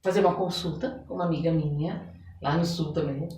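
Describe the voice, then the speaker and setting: A woman's voice: sits low, fairly even intonation, a little fast, mellow. A Brazilian woman talking conversationally as a podcast guest, explaining things and acting out little quotes as she goes. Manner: explanatory, illustrates points with acted-out quotes. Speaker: podcast guest, therapist and oracle card reader. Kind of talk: conversational interview talk. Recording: roomy echo, distant microphone.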